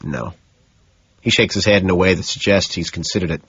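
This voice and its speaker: A man's voice: low voice, steady tone, fast, fairly bright tone, breathy edge, no echo, muffled recording. A man reading scripted first-person fiction as an audiobook narrator.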